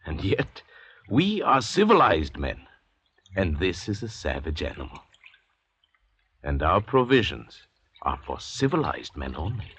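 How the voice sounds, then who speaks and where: An actor playing a haughty, cultured French doctor and murderer in an old radio drama, speaking in a formal, grandiloquent way. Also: male voice, low voice, wildly swooping pitch, measured pace, pauses often, a bit bright, exaggerated voice. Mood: contemptuous, grand. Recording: old radio recording with hiss, clicks.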